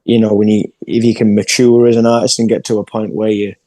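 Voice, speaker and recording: A man's voice: on the low side, flat delivery, fast, warm tone, dry recording. A young English man, a singer-songwriter, chatting in an interview over a video call and often restarting his sentences. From England. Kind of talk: casual interview chat.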